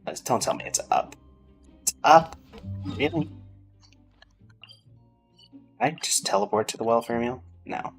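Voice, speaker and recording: A man's voice: mid-range voice, huge pitch swings, a little slow, creaky, pauses often. A man giving informal gameplay commentary, musing aloud to himself as he plays. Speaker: game streamer. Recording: game music behind the voice.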